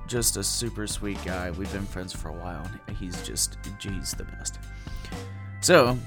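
A man in his twenties hosting a podcast in a casual, upbeat way, his voice hoarse and worn. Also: low-pitched. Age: 20s. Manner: upbeat, laughs easily. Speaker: podcast host.

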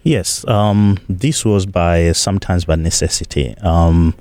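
Deep-voiced Congolese man, mellow tone. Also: dry recording. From eastern Congo. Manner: measured, pauses often with fillers. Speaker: guest. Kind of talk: interview conversation.

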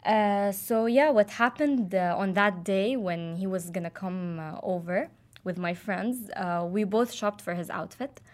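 A woman's voice: medium pitch, unhurried. A young woman from Jordan, casually telling a personal story with many fillers and restarts. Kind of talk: casual chat.

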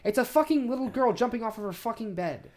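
A man's voice: very high voice, expressive, fast talker, a little mellow. A man hosting a podcast in casual chat, swearing freely and rambling insistently as he repeats his points. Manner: profane. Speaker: podcast host.

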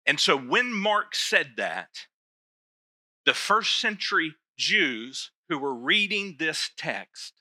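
A man's voice: fairly high pitch, sing-song, slow pace, sharp tone, dry recording. A middle-aged man preaching a sermon to his church, talking in a loose, conversational way with self-deprecating asides. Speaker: pastor.

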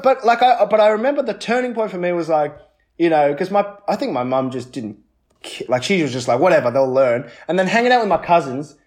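Australian man, fairly high voice, casual chatty storytelling, animated, halting delivery with frequent restarts. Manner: animated, halting, often restarts mid-thought. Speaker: comedian. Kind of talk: casual chat, personal storytelling.

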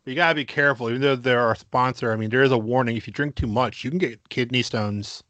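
A man co-hosting a casual comedy podcast, crude and boastful, with slow, emphatic bragging. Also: medium pitch, fairly bright tone, dry recording, dull audio. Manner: crude, boastful mock-macho bits, slow emphatic delivery. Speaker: podcast co-host.

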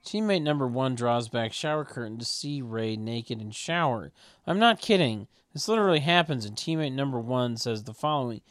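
A man narrating a script's stage directions at a table read, with deadpan, mocking asides. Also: mid-range voice, moderate pace.

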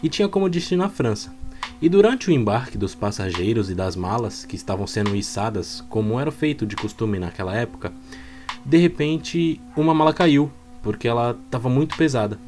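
A man's voice: mid-range voice, animated, fairly quick, a little mellow. A Brazilian man telling a true crime story in a plain, explanatory way with informal wording. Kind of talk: storytelling narration. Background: background music.

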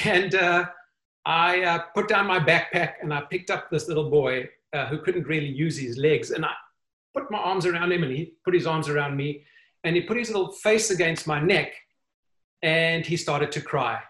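A man, a guest on an online interview show, telling personal stories earnestly with many pauses and fillers. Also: fairly high pitch, pushed and bright, roomy sound. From South Africa. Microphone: video call.

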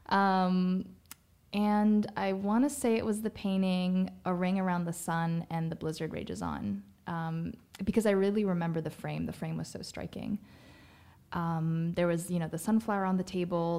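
A woman's voice: lowish voice, gravelly, darkish tone. A woman from Canada hosting an artist interview, asking reflective questions with occasional fillers. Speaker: interview host. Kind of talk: casual conversational interview.

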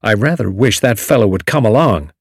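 A man voicing a confident, excitable young American adventurer with a slangy drawl, in an audiobook story. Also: lowish voice, a little fast, slightly rough, no room sound, studio quality. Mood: breezy, confident.

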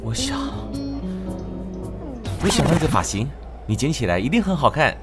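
A man playing a gentle, teasing young male hair stylist in a romantic radio drama, speaking reassuringly. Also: medium pitch, sing-song, moderate pace. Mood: tender. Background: music.

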